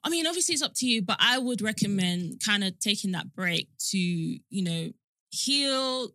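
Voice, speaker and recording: A woman's voice: mid-range voice, animated, normal speed, bright, forceful tone, no echo. A woman co-hosting a podcast, talking casually and candidly in an informal, reassuring way. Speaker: podcast co-host. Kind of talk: candid casual chat, giving advice.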